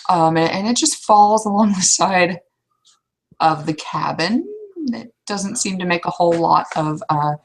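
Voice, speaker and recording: A woman's voice: on the low side, measured pace. A woman improvising narration as a player in a tabletop roleplay session.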